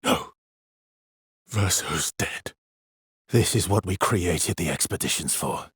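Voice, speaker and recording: Low, husky, weary immortal expeditioner. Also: male voice, slow, fairly bright tone, no room sound, clean studio sound, full character voice. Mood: weary, sorrowful. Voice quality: husky, breathy, gravelly low voice. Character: weary, guarded immortal male expeditioner, earnest and protective. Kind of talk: dramatic video game voice acting, naturalistic and understated.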